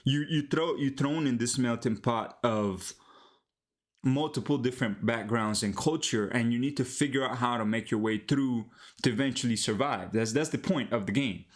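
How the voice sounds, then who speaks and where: A young Colombian-born man talks loosely into a headset mic while streaming from home. His speech is easygoing and full of slang, with stumbles and restarts, and he slips into Spanish now and then. His pitch sits right in the middle for a man. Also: normal speed. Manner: relaxed, slangy, switches into Spanish, frequent restarts. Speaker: live-streaming app developer. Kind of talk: casual live-stream chat with viewers.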